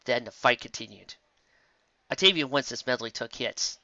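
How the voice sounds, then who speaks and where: A man narrating a fan fiction audiobook, with a lively storytelling delivery. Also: medium pitch, normal speed, dry recording, dull audio. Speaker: audiobook reader.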